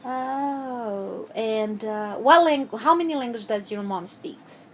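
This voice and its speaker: A woman interviewing a child, asking gentle, patient questions with frequent hesitations. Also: a little high, slow, slight background noise, rough recording.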